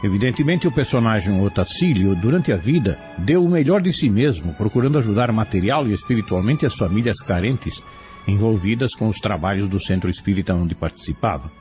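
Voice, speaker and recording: A man reads a scripted moral reflection with formal solemnity. His low voice rises and falls expressively, with gentle music behind him. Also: animated, fairly quick. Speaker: commentator. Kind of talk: scripted moral commentary, sermon-like.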